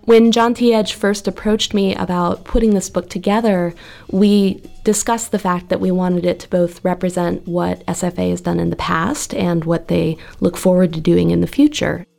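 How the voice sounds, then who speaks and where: A woman's voice: fairly low pitch, fairly even intonation, normal speed, vocal fry, mellow, soft background music. A woman talking reflectively in an interview as a poetry editor.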